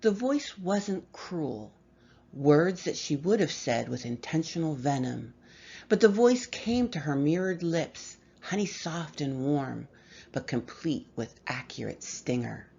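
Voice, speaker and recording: A woman reading a horror story aloud with measured, expressive delivery and dramatic pauses. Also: deep voice, gravelly, husky, dull audio. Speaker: story reader.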